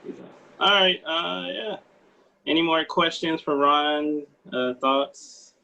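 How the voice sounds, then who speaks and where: A man's voice: a little high, takes it slowly, sharp tone, dull audio. A man chatting casually as he moderates a discussion after a table read. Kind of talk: casual chat. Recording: call audio with clear background noise.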